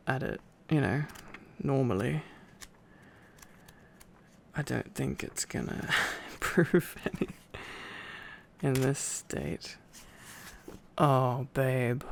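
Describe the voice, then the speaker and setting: A drowsy man speaks slowly with a heavy creak in his voice. He is playing an affectionate boyfriend in an intimate ASMR roleplay, rambling softly and often dropping to a half-whisper. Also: medium pitch, slight background noise. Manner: sleepy, affectionate, rambling, murmuring. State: tired.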